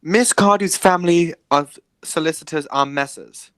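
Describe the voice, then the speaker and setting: A man playing a formal gentleman guardian who holds his temper, in an amateur radio play. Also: fairly high pitch, measured pace, no room sound, poor audio. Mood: restrained indignation.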